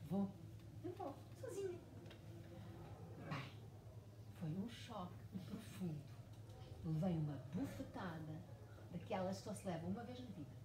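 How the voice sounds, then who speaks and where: A woman in her forties from Mozambique, a writer speaking at a live book event, telling her story emphatically with lots of repetition. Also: mid-range voice. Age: 40s. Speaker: author guest. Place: bookshop event room. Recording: echoey room, far mic, noisy.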